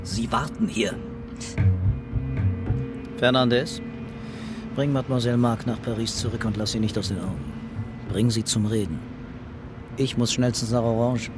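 Police commissioner, male actor: commanding and weary, medium-pitched, radio crime drama with music underneath. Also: moderate pace, pauses often, close to an everyday voice. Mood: brisk, commanding.

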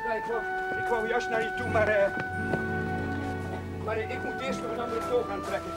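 An actor playing a gentle, flustered, self-mocking young village priest in a television period drama, speaking hesitantly. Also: male voice, extremely high pitch, flat delivery, moderate pace. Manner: hesitant, stammering. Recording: music behind, 1970s television soundtrack.

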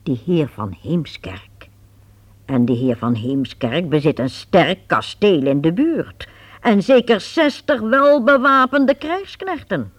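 A woman narrating a radio play in a lively, expressive storytelling manner. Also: on the low side, takes it slowly, dry recording.

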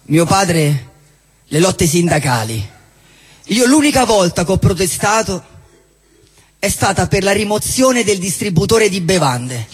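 A man's voice: high voice, sing-song, a little slow, long pauses, husky. A man acting in a live stage dialogue, glum and hesitant, often repeating his words. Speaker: stage actor. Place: theatre stage.

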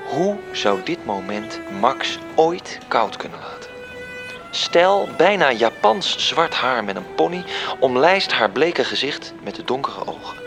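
An actor playing a confident, knowing celestial storyteller, narrating a radio drama in literary style. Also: male voice, medium pitch. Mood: knowing, lyrical.

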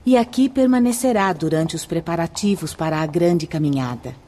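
A calm, authoritative Brazilian mentor played by a woman instructs students in a radio drama. The voice is lowish and dark-toned, and the delivery is measured and formal. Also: slight background noise, close to an everyday voice. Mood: grave explanation.

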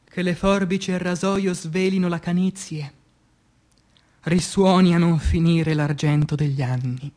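A man reciting poetry as dramatic radio theatre, solemn and declamatory, breaking phrases with deliberate pauses. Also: high voice, steady tone, a little mellow.

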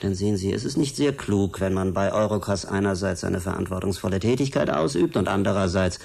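A gravelly, low-voiced male secret service interrogator in a radio drama. He is condescending and falsely polite, with a husky edge and a steady undertone of threat. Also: brisk, heavily acted. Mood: quietly menacing. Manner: mock-polite, condescending, needling. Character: menacing male state security agent, smugly insinuating. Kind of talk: German radio drama acting.

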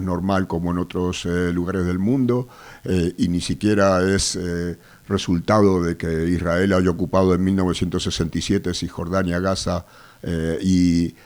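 A man from Argentina with an Argentine accent, a guest on a radio show, speaking with a cold in a measured, hesitant way, full of fillers. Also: low-pitched, darkish tone. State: has a cold. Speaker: activist guest. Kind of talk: radio interview. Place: radio studio.

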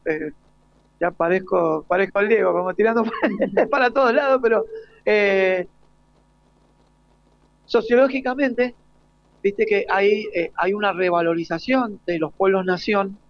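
Argentine guy interviewing a panel, high voice, keeps searching for words, dull lo-fi sound. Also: measured pace, vocal fry, pauses often. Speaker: host and interviewer. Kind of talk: informal live panel interview. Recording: dull lo-fi live broadcast.

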